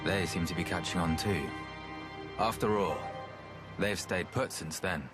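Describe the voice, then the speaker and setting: Casting: weary researcher, low voice, measured and matter-of-fact, game cutscene, music behind. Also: male voice. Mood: grave, resolute. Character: weary, level-headed male researcher and settlement spokesman. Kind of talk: video game cutscene acting.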